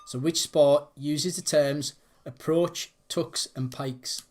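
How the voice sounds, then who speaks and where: A man's voice: on the high side, fairly even intonation, slow, a little mellow. A man hosting a quiz, reading out questions and chatting casually between them. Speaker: quiz host.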